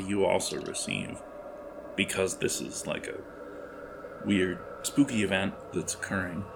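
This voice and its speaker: A man with a low voice narrates a tabletop horror game as its game master. He speaks very slowly and haltingly, improvising as he goes. There is some background noise on the recording. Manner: halting, searching for words.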